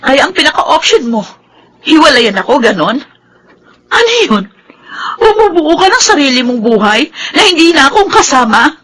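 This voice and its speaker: Hurt angry filipina wife, radio drama, really bright piercing voice, very up and down. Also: female voice, sits high, big pitch swings, moderate pace, poor audio. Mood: bitter, accusing. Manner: reproachful, emotionally intense. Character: hurt, angry pregnant wife confronting her cheating husband.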